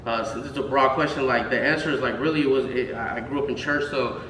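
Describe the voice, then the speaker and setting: A man's voice: medium pitch, fairly even intonation, quick pace, a bit bright. A man in his thirties from Houston, Texas, a music producer chatting as a guest, rambling with many fillers and restarts. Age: 30s. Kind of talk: casual interview chat. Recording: roomy, distant mic, faint noise.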